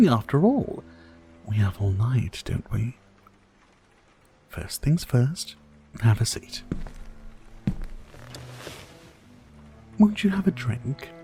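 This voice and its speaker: A man playing a suave, sadistic vampire host in an intimate ASMR roleplay, courtly and mocking, with seductive drawn-out pauses. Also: mid-range voice, dark tone, heavily acted. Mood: playful, menacing. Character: suave sadistic male vampire host toying with his prey.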